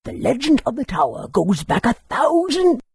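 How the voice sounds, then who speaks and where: An actor playing a long-winded, helpful old scholar in a fantasy video game, with a very raspy, creaky voice. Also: male voice, very high-pitched, wildly swooping pitch, moderate pace, darkish tone, no room sound, studio quality, heavily acted. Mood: helpful, wise. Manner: wordy, instructive.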